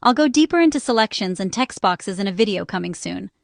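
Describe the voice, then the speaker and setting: A woman's voice: mid-range voice, expressive, fairly quick, gravelly, no room sound, studio quality. A woman reading a scripted explainer narration in short, instructional phrases. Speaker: narrator and small business owner explaining accessibility.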